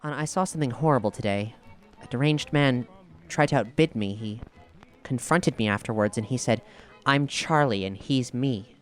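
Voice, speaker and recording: A man's voice: mid-range voice, normal speed, lightly acted. Earnest male investigator of strange horrors played by an actor, shaken yet eager, audio drama, faint music underneath. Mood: shaken, haunted.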